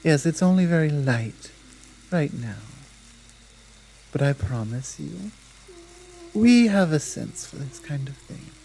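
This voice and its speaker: A woman playing a stern, dominant female werewolf pack leader in an ASMR roleplay, speaking in a measured, commanding manner with deliberate pauses. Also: deep voice, animated, mellow, slight background noise, full character voice, poor audio. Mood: resigned, firm.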